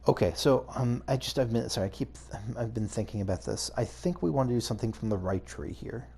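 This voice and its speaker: A man's voice: mid-range voice, brisk, gravelly, mellow, husky. A man giving casual video game playthrough commentary, hesitantly thinking aloud as he goes. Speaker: gaming video host.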